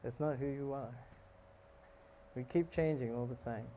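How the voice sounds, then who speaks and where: A man giving a relaxed, joking talk on scripture to a live audience, often asking them questions. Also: medium pitch, measured pace, a little hiss or hum. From South Africa. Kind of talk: casual sermon to a live audience.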